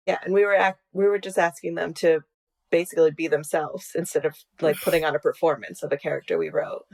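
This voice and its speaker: Female podcast creator being interviewed: conversational, on the lower side, recorded on a video call. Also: fairly even intonation, fast, gravelly, darkish tone. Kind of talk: casual interview chat.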